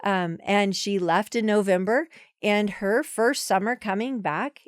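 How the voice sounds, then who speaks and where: A woman in her forties speaks as a podcast guest in a chatty, emphatic way, often restarting her thoughts. Her voice sits at a middle pitch. The recording is dry and close. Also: measured pace, no echo. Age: 40s. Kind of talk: casual conversational interview.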